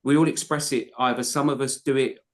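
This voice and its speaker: A man, in the middle of a fan podcast chat. He talks at a mid pitch in long, heartfelt runs. He often stops and restarts his sentences as he builds his point. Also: fairly even intonation, a little fast. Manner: passionate, rambling, self-correcting restarts. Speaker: podcast co-host. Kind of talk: casual football podcast chat.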